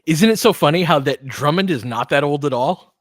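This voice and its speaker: A man's voice: fairly high pitch, animated, fairly quick, dry recording. Man calling basketball, very dry close sound. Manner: partisan home-team fan, conversational asides. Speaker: play-by-play commentator and analyst. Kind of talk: informal live basketball commentary.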